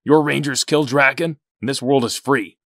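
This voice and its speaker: A man playing an older, hot-headed and distrustful rebel soldier in an audio drama, gruff and blunt. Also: mid-range voice, normal speed, fairly bright tone, no echo, clean studio sound, natural voice. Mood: bitter, sullen.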